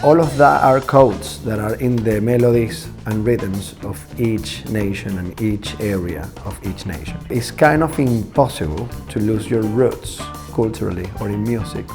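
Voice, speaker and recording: Low-voiced Venezuelan man over music. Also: a little slow, creaky, hardly any pauses. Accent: Venezuelan Spanish. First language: Spanish. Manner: thoughtful, earnest, searching for words. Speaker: band leader and musician. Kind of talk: reflective documentary interview. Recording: music playing underneath.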